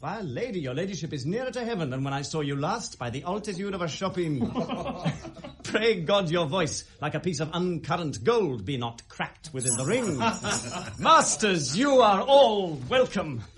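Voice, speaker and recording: A British actor playing a brooding, sardonic young prince feigning madness, theatrical and mercurial in a 1970s classical radio drama. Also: male voice, sits high, sing-song, a little slow, a crowd in the background. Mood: exuberant welcome. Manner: theatrical, mercurial, precisely declaimed.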